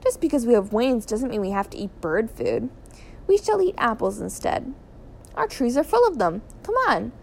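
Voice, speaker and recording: A woman voicing a firm, practical father turned small bird in a story reading, with brisk commands. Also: a little high, big pitch swings, vocal fry, a little mellow, a little hiss or hum. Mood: decisive.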